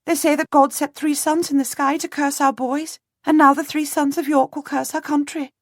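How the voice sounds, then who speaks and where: A woman's voice: high-pitched, little pitch movement, moderate pace, no echo, studio quality, voice pushed up, natural voice. A woman voicing a young goose girl with a strong rural way of talking, eager and chatty. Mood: grim. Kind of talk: audiobook character voice.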